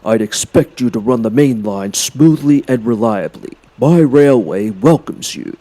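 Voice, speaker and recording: A man's voice: medium pitch, expressive, a little slow, mellow. A man voicing a dignified male railway director in a children's story, formal and authoritative. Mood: pleased.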